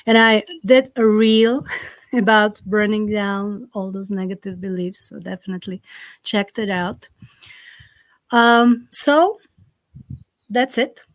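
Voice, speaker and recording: A woman with a Slovak accent, a native Slovak speaker, hosting a live-streamed ritual from home and giving slow, gentle instructions full of fillers. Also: mid-range voice, dry recording, rough recording. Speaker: spiritual ritual host. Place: home in the south of France. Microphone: computer on a live stream.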